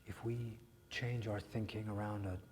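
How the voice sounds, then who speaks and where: An actor playing a grieving widower who talks bluntly and tenderly, swearing often and stumbling over restarts. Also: male voice, mid-range voice, darkish tone, long reverb tail, slight background noise, natural voice. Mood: hushed pleading. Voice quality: profane, halting with repeated restarts. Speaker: widower speaking to a grieving listener. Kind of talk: emotional dramatic monologue.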